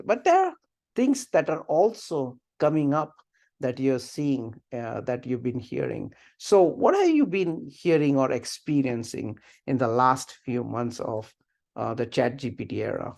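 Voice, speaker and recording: A middle-aged man teaching over a video call. His voice is mid-pitched and full of creak, and he speaks haltingly, often repeating and rephrasing as he leads discussion. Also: a little mellow. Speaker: webinar instructor. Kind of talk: informal class discussion. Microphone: video call computer mic.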